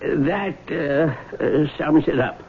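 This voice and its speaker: Fussy formal country lawyer, highish raspy voice, tinny old radio drama. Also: male voice, takes it slowly. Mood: flustered. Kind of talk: 1970s radio drama acting. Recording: thin phone-like old radio broadcast.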